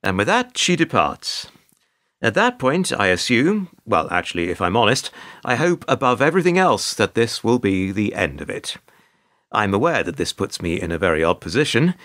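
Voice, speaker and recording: An actor in a radio play playing a world-weary, put-upon hotel receptionist who talks in a wry, resigned, long-winded formal way. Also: male voice, lowish voice, very animated, moderate pace, a bit bright, dry recording, studio quality. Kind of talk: radio play monologue and dialogue.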